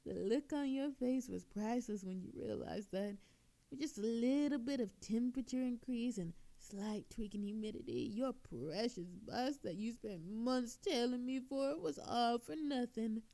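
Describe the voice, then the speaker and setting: A woman performs a cocky female thief in an audio drama, with a fairly high feminine voice. She is coy and theatrical, forever flirting and needling the detective who questions her. The delivery is playful and mocking, full of smug confidence. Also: unhurried, gravelly, warm tone. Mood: gloating, teasing. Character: smug, flirtatious, teasing woman thief under police interrogation. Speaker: voice actor in audio roleplay. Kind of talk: flirtatious audio roleplay monologue.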